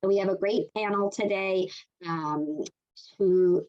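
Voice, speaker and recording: A woman moderating a medical webinar over a video call, measured and with frequent fillers. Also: mid-range voice, muffled recording.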